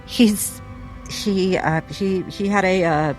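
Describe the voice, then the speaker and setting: A woman's voice: mid-range voice, slow pace, faint music behind, natural voice, poor audio. A woman playing a guarded doctor in a 1960s-set drama, stammering and defensive under questioning. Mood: shaken. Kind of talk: 1960s cinematic drama acting.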